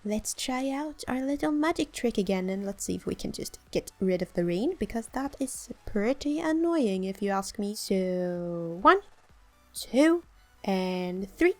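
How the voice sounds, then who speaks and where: A woman's voice: medium pitch, expressive, unhurried, faint music behind. A young woman from southern Sweden with a southern Swedish accent, chatting playfully through her game with a slightly sore throat and frequent little giggles. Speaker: gaming channel host. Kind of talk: casual let's-play commentary.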